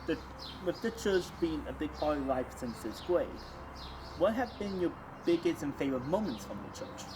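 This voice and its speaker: Young guy asking questions casually, slightly high voice, clear outside noise behind him. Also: lively intonation, normal speed. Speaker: interviewer. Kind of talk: casual conversational interviewing.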